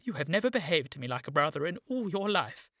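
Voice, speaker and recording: A woman playing an earnest, excitable gentleman in a period radio comedy, formal and urgent with an agitated stammer. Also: low voice, fast, dry recording, clean studio sound, heavily acted. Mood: elated. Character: earnest, excitable young gentleman seeking his origins.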